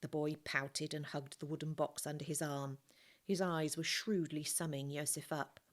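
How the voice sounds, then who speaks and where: A woman teacher reads a novel aloud in a calm, measured way. Her voice sits a little low, and her narration stays steady and unhurried. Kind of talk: storybook reading.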